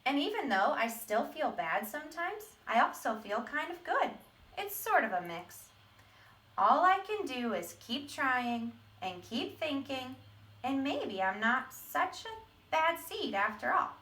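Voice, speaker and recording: A woman voicing a mischievous little male sunflower seed confessing in a dramatic, emphatic storybook read-aloud with drawn-out words. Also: high voice, big pitch swings, bright, forceful tone, heavily acted. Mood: hopeful, earnest. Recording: roomy echo, distant microphone.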